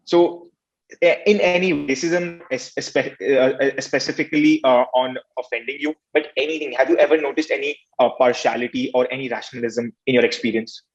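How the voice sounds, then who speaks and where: Polite young Indian interviewer, muffled. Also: male voice, medium pitch, fairly even intonation, brisk. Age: teens. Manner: polite, formal, with many "uh" fillers. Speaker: student interviewer. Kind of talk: casual interview questions. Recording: dull lo-fi sound.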